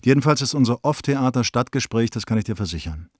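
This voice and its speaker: Man acting a brisk, sardonic bohemian intellectual. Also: medium pitch, lively intonation, no room sound, studio quality, lightly acted. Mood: brisk, chatty. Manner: wry, emphatic, self-important. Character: opinionated young male bohemian intellectual, sardonic, affectionate. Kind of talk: radio play letter reading.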